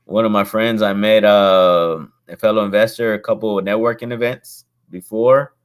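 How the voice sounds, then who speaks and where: A man hosts a casual chat in a friendly, rambling way and often drops in slang like "bro." His voice sits fairly low in pitch, and he talks loosely, with lots of restarts. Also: fairly even intonation, normal speed, smooth. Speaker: host, real estate investor.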